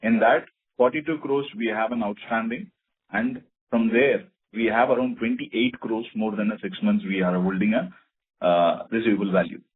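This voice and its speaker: A man's voice: fairly low pitch, fairly even intonation, moderate pace, slight background noise. A man with an Indian accent answering investor questions as a finance executive, over a phone line. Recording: tinny narrow phone line.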